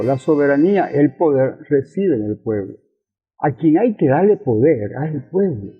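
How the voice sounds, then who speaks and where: A man's voice: a little high, breathy edge. An older man with a Venezuelan accent explaining political ideas in an interview, didactically, with rhetorical questions and repeated key phrases. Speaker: interviewed communist politician and professor. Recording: thin phone-like radio sound with music.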